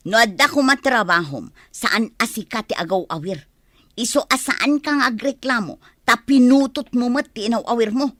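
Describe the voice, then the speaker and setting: A woman with an Ilocano accent playing a sharp-tongued, exasperated wife who scolds and answers back in a radio drama. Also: a little high, lively intonation, normal speed, no echo, studio quality. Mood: exasperated, scolding. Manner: scolding, retorting. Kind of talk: Filipino radio drama acting.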